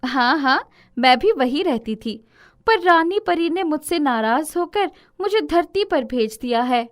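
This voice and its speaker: Woman voicing a gentle fairy guardian, lively pitch. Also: a little slow. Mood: reassuring. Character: kindly, gentle female fairy guardian of the forest.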